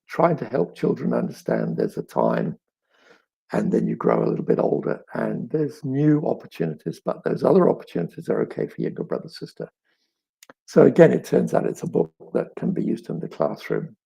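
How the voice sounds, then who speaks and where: A middle-aged man with a crackly, raspy voice, as if with a frog in his throat, chatting reflectively as an author and telling stories with thoughtful pauses and emphasis. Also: medium pitch, wildly swooping pitch, warm tone. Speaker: author answering audience questions. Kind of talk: reflective live chat, storytelling.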